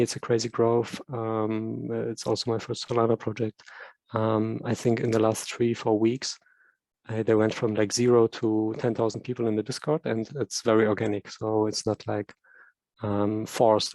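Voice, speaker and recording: Flat, gravelly man. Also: on the low side, no room sound, low-quality recording. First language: German. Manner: hesitant, many fillers and repeats. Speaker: community moderator. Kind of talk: casual chat on a video call.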